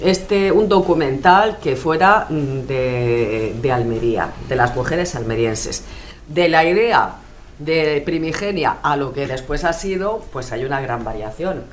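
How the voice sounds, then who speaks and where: A woman's voice: low-pitched, animated, slow, a little hiss or hum. A woman from Spain with a Madrid accent, a journalist and filmmaker, speaking thoughtfully as a radio interview guest with frequent hesitations. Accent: Madrid Spanish. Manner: thoughtful, hesitant with many fillers.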